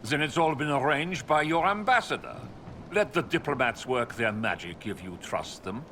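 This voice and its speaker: An actor playing a pompous, condescending noble envoy in a video game, speaking theatrically. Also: male voice, mid-range voice, expressive, normal speed, noisy background, lightly acted. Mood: gossipy, sly. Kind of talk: video game dialogue acting.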